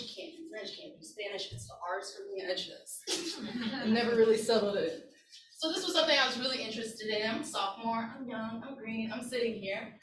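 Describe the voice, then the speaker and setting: A woman in her thirties presenting a workshop and telling a personal story to an audience in an earnest, conversational way, often checking in with her listeners. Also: fairly high pitch. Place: conference room with an audience. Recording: echoey room, distant mic.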